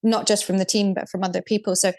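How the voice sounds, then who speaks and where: A woman speaking as a podcast guest, explaining things in a measured way with frequent restarts. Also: mid-range voice, flat delivery, a little mellow. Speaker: guest, deputy programme director. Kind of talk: casual podcast conversation.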